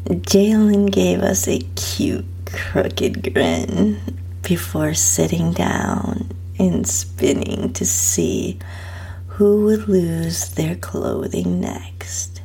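A woman reads a first-person story very slowly, in a hushed and sultry way. Her voice is strongly breathy and husky, dipping into creaky fry. The delivery is intimate, like close storytelling. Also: fairly low pitch, very animated. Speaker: host and narrator of own story podcast.

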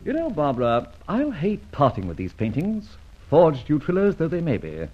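Debonair radio serial gentleman thief, very lively delivery, theatrical, muffled old recording. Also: on the high side, normal speed, music in the background. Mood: breezily confident. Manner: debonair, amused, theatrical phrasing. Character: suave, enigmatic gentleman thief and adventurer.

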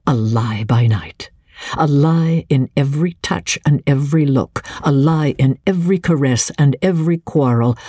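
A woman narrating classic literature as a dramatic reading, with measured, expressive and deliberate phrasing. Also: low voice, husky, no echo, clean studio sound. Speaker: audiobook narrator.

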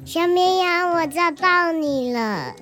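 A woman's voice: very high-pitched, lively intonation, pushed and bright, faint music behind, exaggerated voice. A woman voicing a curious little boy who cannot sleep, in a children's radio drama. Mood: cheerful. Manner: slow, earnest childlike delivery.

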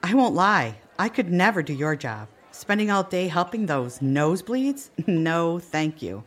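A firm but wry lady bartender, folksy, super expressive, audio drama. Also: fairly low pitch, unhurried. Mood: relaxed, proud. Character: no-nonsense, wry, good-humoured woman bartender who owns the bar.

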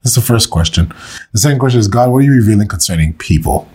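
Husky low-voiced man, losing his voice. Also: lively intonation, darkish tone. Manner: slow, measured reading. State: under the weather, losing his voice. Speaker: pastor leading scripture reading. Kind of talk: meditative scripture reading and prayer. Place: recorded for online listeners.

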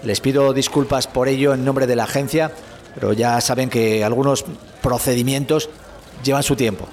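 A man's voice: medium pitch, steady tone, normal speed, darkish tone, slight background noise, lightly acted. A man with an accent from Spain playing a proud, courteous space agency spokesman, giving a formal, polite press conference address in an audio drama. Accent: Spanish from Spain.

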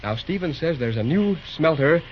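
A man's voice: on the high side, animated, normal speed, lightly acted. A man acting a firm but fair railroad boss, businesslike and clipped in an old radio drama. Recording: muffled, noisy old radio recording.

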